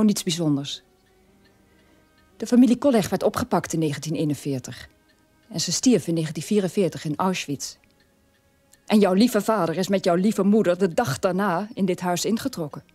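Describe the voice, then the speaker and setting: A woman's voice: fairly low pitch, lively intonation, a little fast, a little mellow, slightly rough, no echo, soft background music. A woman voicing a bitter, agitated teenage son who accuses his parent, in a radio play. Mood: bitter, taunting.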